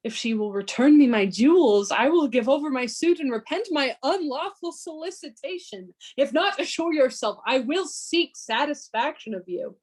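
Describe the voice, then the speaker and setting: A woman playing an aggrieved, gullible gentleman suitor in a Shakespearean radio play, indignant and petulant. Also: high-pitched, lively intonation, normal speed, hardly any pauses.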